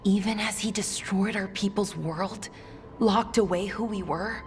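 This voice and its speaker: An actress playing a thoughtful, wounded elf in a fantasy game, serious and reflective. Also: female voice, medium pitch, measured pace, slight background noise, natural voice. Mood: pained, indignant. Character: thoughtful, wounded female elf, protective of her culture. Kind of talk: video game cutscene dialogue.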